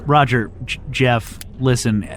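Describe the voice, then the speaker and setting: A man playing an easily impressed, earnest programming director in a comedy sketch, halting and encouraging. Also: medium pitch, steady tone, fairly bright tone, a little hiss or hum. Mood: halting, sincere. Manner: halting, encouraging, ponderously sincere. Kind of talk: comedy sketch dialogue, pitch meeting.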